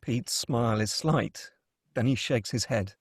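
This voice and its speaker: Male narrator for script table read. Medium pitch, scripted scene descriptions, dry close mic. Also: unhurried, no echo. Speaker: narrator reading stage directions. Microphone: close, dry microphone.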